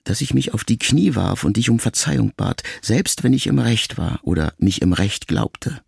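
Deep gravelly male audiobook narrator. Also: dry recording, studio quality. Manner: calm, measured, reflective reading. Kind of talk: scripted first-person narration. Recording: music bed under the voice.

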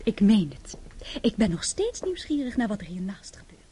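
A woman's voice: mid-range voice, big pitch swings, normal speed, dark tone, a little hiss or hum, natural voice. An actress playing a teasing, insistent woman in a radio play. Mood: playful, insistent. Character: playful, teasing woman fending off her partner.

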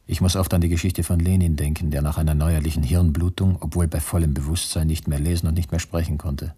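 Husky, low, disillusioned camp prisoner. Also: male voice, little pitch movement, mellow, full character voice. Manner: brooding, bitter, measured. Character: weary, disillusioned concentration camp prisoner, communist, writing to his beloved. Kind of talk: radio drama monologue.

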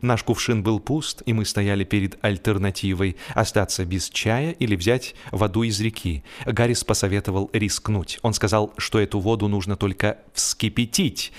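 A man reading a humorous literary story as an audiobook narrator, expressive and measured. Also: fairly low pitch.

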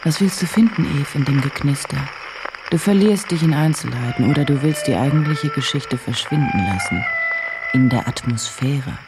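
A woman's voice: low-pitched, moderate pace, over music, rough recording. An actress playing a driven, self-questioning woman journalist, terse and introspective, in a radio drama inner monologue. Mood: brooding, self-questioning.

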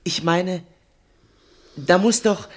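A man's voice: high-pitched, extremely slow. An actor playing a deferential, earnest man in a radio play, speaking hesitantly and politely but insistently. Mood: puzzled, cautious. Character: deferential, earnest man timidly questioning an overbearing professor. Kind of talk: classic German radio play acting.

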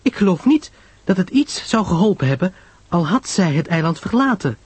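Man acting earnest storybook woman, high husky voice, lively swoops, insistent questioning. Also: normal speed, no room sound, full character voice. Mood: earnest, reflective. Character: earnest, easily astonished woman, questioning a detective. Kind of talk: audiobook story reading.

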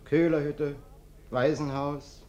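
Self-pitying vagrant guest, radio play, fairly high male voice, wheedling, falsely humble, plaintive. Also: little pitch movement, slow, a little mellow, lo-fi sound. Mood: self-pitying, wounded. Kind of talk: German radio play acting.

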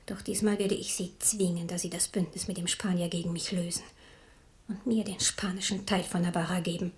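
A woman's voice: lowish voice, level tone, brisk, lightly acted. A woman as a grave, resolute queen mother. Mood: resolute, solemn. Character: proud, devout queen mother, embittered and resolute. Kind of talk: German radio play acting.

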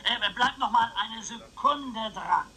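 A man's voice: very high voice, slow pace, bright, forceful tone, exaggerated voice. A male actor playing an eccentric old uncle in a radio play, theatrical, rambling and needling, styling himself a dangerous psychopath. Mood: conspiratorial. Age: older.

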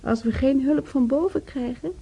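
An actress playing a pious, chattering widowed church volunteer in a radio drama, stammering and repeating herself with a husky, breathy voice. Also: female voice, high voice, moderate pace, dark tone, poor audio. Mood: pious, earnest. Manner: rambling, stammering, repeats herself, earnestly preachy. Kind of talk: naturalistic radio drama dialogue.

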